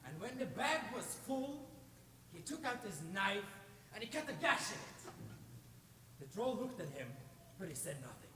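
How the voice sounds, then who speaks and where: A young man from Norway narrating a folktale live on stage in a theatrical, expressive way. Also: high-pitched, moderate pace, pauses often. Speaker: storyteller. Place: stage in a large hall. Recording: echoey hall, distant mic, clear noise.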